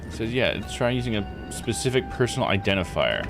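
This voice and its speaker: A man's voice: fairly low pitch, big pitch swings, a little fast, vocal fry. A man giving casual live commentary as he plays a game, thinking aloud in disbelief. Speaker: game streamer. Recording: music behind the voice. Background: game music.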